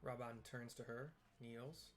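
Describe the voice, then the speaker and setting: A man giving improvised audio description of a game scene, halting and searching for plain comparisons as he goes. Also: mid-range voice, steady tone, a little hiss or hum. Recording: game music behind the voice. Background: game music.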